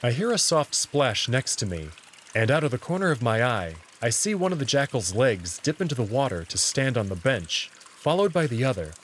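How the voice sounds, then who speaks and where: A man's voice: medium pitch, sing-song, normal speed, fairly bright tone, slight background noise. A man narrating a visual novel in the first person, reading it as a story.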